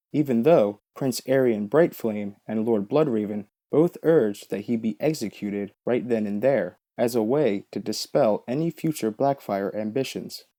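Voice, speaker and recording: A man's voice: medium pitch, mellow. A man giving scripted, documentary-style narration of fantasy lore in an explanatory, matter-of-fact way.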